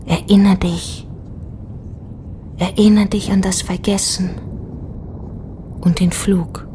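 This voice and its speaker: An actress playing a haunting, beckoning woman in a poetic radio drama, hushed and incantatory, with a breathy, whispering voice. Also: lowish voice. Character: haunting female voice calling someone to remember. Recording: clear background noise, ambience.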